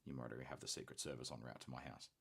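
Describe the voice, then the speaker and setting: A man's voice: extremely low pitch, racing, vocal fry, slightly rough. A man from Australia with a rather posh-sounding accent, chatting casually while he paints on a live hobby stream. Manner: relaxed, chatty, self-deprecating.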